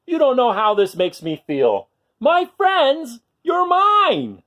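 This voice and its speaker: Storybook young male dinosaur, played by a man: high-pitched, whiny, emotional, swinging widely in pitch, desperate for friends. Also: wildly swooping pitch, takes it slowly, fairly bright tone, exaggerated voice. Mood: elated. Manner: emotional, pleading and exclaiming.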